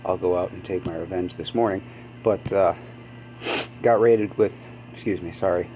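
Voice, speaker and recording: A man's voice: low voice, steady tone, normal speed, warm tone, soft background music, poor audio. A man hosting a gaming channel, sniffly from a cold, chatting casually and rambling with many ums and apologies. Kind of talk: casual gameplay commentary.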